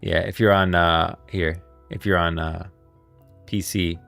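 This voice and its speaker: A man's voice: low-pitched, little pitch movement, measured pace, gravelly, a bit bright. A man giving casual, enthusiastic livestream commentary on game lore, speaking emphatically and in slangy language. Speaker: live streamer, lore commentator. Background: music.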